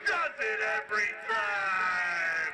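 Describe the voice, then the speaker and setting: A man's voice: high-pitched, very animated, slow pace, full character voice, poor audio. A man playing a showy, mock-wholesome huckster in a parody old-time radio commercial. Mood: cheerful salesmanship. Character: huckster radio pitchman selling a dubious elixir. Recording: loud music under the voice.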